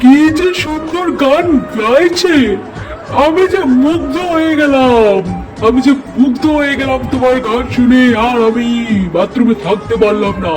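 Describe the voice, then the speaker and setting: Doll soap husband, man acting: flirty, doting, high voice, gushing delivery. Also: normal speed. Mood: enraptured. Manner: gushing, theatrical, repetitive. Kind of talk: amateur doll-drama acting. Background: music.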